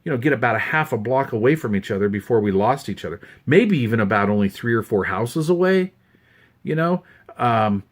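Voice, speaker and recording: Male, 50s, Western American accent, mid-pitched, casual rambling hobbyist show-and-tell. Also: animated, brisk. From United States, Utah. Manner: rambling, conversational. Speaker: hobbyist video host. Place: indoors at a wooden desk.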